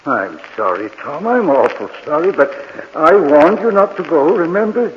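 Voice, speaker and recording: An actor playing a kindly, regretful old morgue attendant in a 1940s radio mystery. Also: male voice, on the high side, big pitch swings, moderate pace. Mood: sorrowful. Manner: regretful, ominous, repeating himself. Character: kindly, sorrowful old morgue attendant who talks to the dead. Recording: muffled, noisy old radio recording with reverb.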